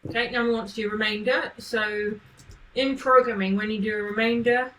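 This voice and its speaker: Woman, casual live-stream coder, slightly higher voice, rambling and friendly with chat. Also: fairly even intonation, moderate pace, a bit bright, a little hiss or hum, poor audio. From not from Manchester. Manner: chatty, thinks aloud, answers chat questions. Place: home, living in Manchester.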